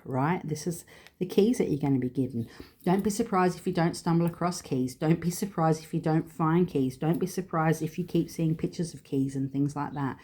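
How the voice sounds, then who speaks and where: Low-voiced, congested woman reading oracle cards. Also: dark tone. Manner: chatty, rambling, with frequent fillers. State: congested with sinus trouble. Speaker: tarot and oracle card reader. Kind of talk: casual spiritual card reading.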